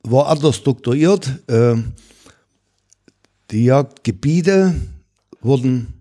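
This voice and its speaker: A man's voice: medium pitch, animated, takes it slowly, warm tone. An older man, a hunting shop owner, answering interview questions in a matter-of-fact, self-assured way.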